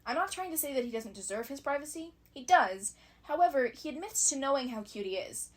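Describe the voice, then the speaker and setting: Female video essayist, slightly high voice; conversational scripted analysis, opinionated, with dry wry asides. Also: a bit bright.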